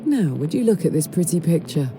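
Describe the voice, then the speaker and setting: A woman's voice: on the low side, very animated, fairly quick, music in the background. A woman playing a bold, teasing, bored young vampire aristocrat with a theatrical drawl in a video game. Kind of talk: video game character acting.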